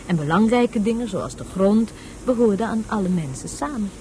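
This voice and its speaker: A woman's voice: medium pitch, animated, moderate pace, warm tone, slight background noise, close to an everyday voice. An actress playing a patient woman tutor in a radio play, explaining things didactically as if to a child. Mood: instructive. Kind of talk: Dutch radio play acting.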